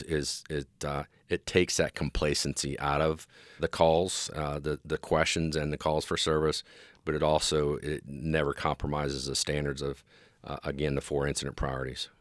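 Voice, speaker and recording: Very deep-voiced middle-aged American man, dry sound. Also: flat delivery, normal speed, fairly bright tone, dry recording. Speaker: interview guest, police dispatch specialist and former officer. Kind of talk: interview explanation.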